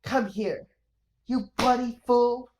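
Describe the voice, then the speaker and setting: A woman reads a stern father in a storybook audiobook. The man has a quick temper and a gruff, scolding way of speaking, with slow, deliberate pacing. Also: on the high side, fairly even intonation, noisy background. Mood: furious.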